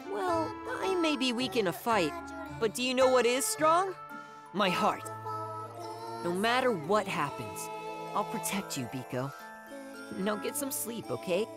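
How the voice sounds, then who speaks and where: A woman's voice: a little high, big pitch swings, a little slow, long pauses, over loud music. A woman voicing a brash young zombie boy in an anime-style video game, blunt and cocky. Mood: protective.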